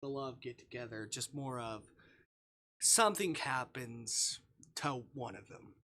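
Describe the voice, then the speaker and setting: A man's voice: medium pitch, a little slow, creaky, sharp tone. A man streaming a dramatic read-aloud of visual novel text, sometimes stumbling over words and rereading them.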